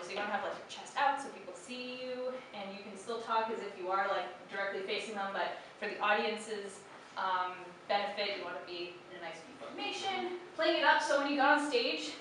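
A young woman presents a panel in a lecture hall, chatty and informal, leaning on "you know" and "like". Her voice is bright and forward. It rings through a very echoey room, caught from some distance away over a faint hum of ambience. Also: mid-range voice. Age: young adult. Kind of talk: presenting a how-to panel talk. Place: lecture hall at a fan convention. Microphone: headset mic.

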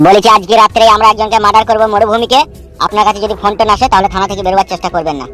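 A man's voice: high-pitched, fairly even intonation, racing, pushed and bright, faint music behind. A man voicing an eager, servile gangster henchman in a comedy cartoon, chatty, deferential and conspiratorial. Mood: eager, scheming. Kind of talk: Bengali comedy cartoon dialogue.

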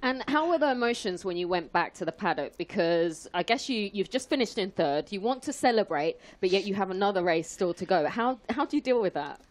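A young woman co-hosting a studio show, bubbly and quick to laugh, on a headset mic in a small TV studio. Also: mid-range voice, expressive.

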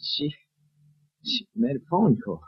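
A man's voice: a little high, wildly swooping pitch, slow pace, vocal fry, slightly rough, full character voice. An actor playing a skinny, nervous male drunk who stammers, wheedles and giggles, in 1940s radio drama style. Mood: wheedling, giggly. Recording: muffled 1940s radio recording.

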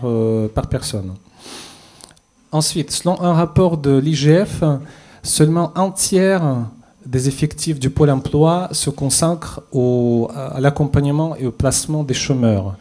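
Hesitant man with a mellow voice. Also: medium pitch. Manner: measured, hesitant with many fillers. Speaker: policy expert panelist. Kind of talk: prepared panel statement. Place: conference stage with audience. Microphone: handheld mic.

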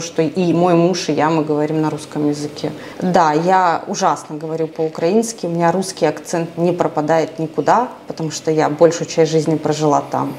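A woman in her thirties who grew up in Russia, giving a frank, lively documentary interview in a small apartment on a lapel mic. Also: sits low, slight background noise. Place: small bedroom apartment, indoors.

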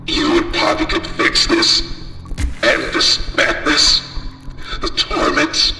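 An actor playing a tormented, chained dog monster in a horror game, pleading haltingly in a strained, gravelly voice. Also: male voice, mid-range voice, wildly swooping pitch, bright, forceful tone, reverberant, in a large hall, soft background music, full character voice. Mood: anguished. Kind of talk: horror video game acting.

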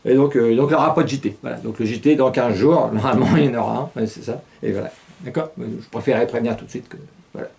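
A man's voice: mid-range voice, quick pace, gravelly. A middle-aged man hosting a satirical news show in a small studio, talking casually and mockingly, laughing often between jokes. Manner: sarcastic, laughs often, animated gestures. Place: small studio with green-screen news set.

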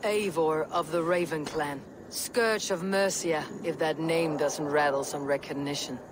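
A battle-hardened Viking warrior woman from a video game. Her voice sits low and gruff, and she talks bluntly, with measured menace. Noticeable ambient noise runs behind her. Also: steady tone. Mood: steely.

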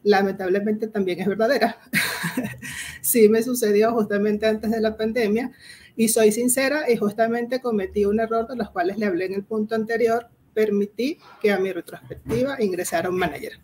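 A woman's voice: medium pitch, normal speed, darkish tone, slight background noise. Venezuelan woman giving an online workshop. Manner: explanatory, addresses the audience directly, frequent fillers "este" and "eh". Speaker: agile coach presenting a workshop. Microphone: video call.

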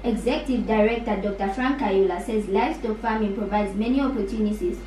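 A woman's voice: mid-range voice, brisk, warm tone. A teenage female school pupil with a Zambian accent reading scripted radio news. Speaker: pupil newsreader. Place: small radio studio, recorded by camera. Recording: roomy, distant mic, faint noise.

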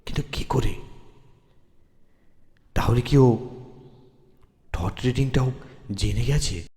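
A man narrates a horror story in the first person, with theatrical, deliberate phrasing. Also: medium pitch, darkish tone. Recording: long reverb, music underneath. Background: background music.

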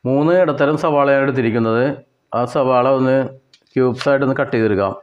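A man with a Kerala Malayali accent giving step-by-step cooking instructions in a plain way, with pauses and repetitions. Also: medium pitch, highs cut off. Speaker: home cook presenter. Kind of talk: step-by-step recipe narration.

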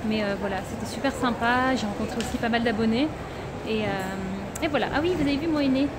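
A woman's voice: fairly high pitch, a little fast. A woman in her thirties, vlogging to a phone at arm's length, chatty and full of hesitations as she walks through a busy bazaar. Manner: chatty, lots of hesitation fillers. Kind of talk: casual vlog chat to camera. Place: busy indoor bazaar hall, later a city bus. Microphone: phone held at arm's length. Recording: loud crowd and traffic noise.

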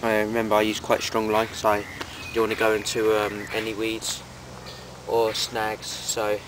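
A man's voice: fairly low pitch, moderate pace, far from the mic, noisy background. A young man plainly describing the fish he has caught, filmed outdoors by a pond on a handheld camera. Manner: flat, plain, describes his catch. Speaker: angler vlogger. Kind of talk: casual fishing commentary.

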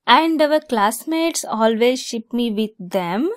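A woman's voice: a little high, expressive, takes it slowly, no room sound, studio quality. A woman acting a giggly, teasing young woman fond of a longtime friend, in a romantic ASMR roleplay. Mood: amused, flustered.

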